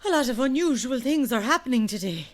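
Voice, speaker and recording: An actress playing a devout Irish parishioner, out of breath, tearful and overexcited, in an audio drama. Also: female voice, high-pitched, sing-song. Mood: breathless excitement. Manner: gasping, halting, overwrought. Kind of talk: heightened audio drama acting.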